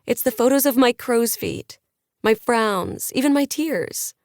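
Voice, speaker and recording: A bright, preachy influencer woman works a crowd with lifted, eager delivery, pitched well above the narrator's own voice, as a woman narrator puts her on. The sound is close and dry, like an audiobook booth. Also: animated, a little slow, no room sound. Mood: inspirational. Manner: projecting, preachy and peppy. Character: upbeat woman influencer giving a motivational talk.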